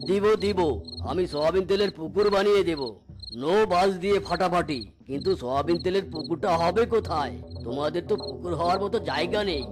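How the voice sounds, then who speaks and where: A man's voice: sits high, fairly quick, music in the background, natural voice. A man voicing a scheming, grumbling fake holy man in a cartoon, with a theatrical delivery. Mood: panicked, placating. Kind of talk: cartoon character acting.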